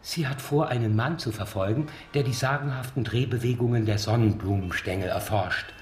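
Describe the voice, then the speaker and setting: An actor playing a prickly, defiant blind suspect in a German radio crime drama, curt and formally sarcastic. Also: male voice, mid-range voice, a little fast, a little mellow, close to an everyday voice. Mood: formal, dry. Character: prickly, sardonic, defiant blind man under police suspicion.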